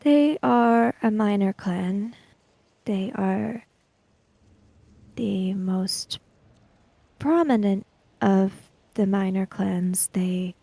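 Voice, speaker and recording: Slow-spoken woman with a creaky voice. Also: medium pitch, dark tone, no echo. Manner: measured, deliberate, halting phrasing. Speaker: tabletop roleplay player. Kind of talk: improvised in-character roleplay dialogue.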